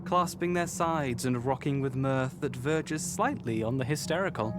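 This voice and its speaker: A man reading scripted literary narration for a visual novel in a measured, formal storytelling manner. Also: mid-range voice, over music.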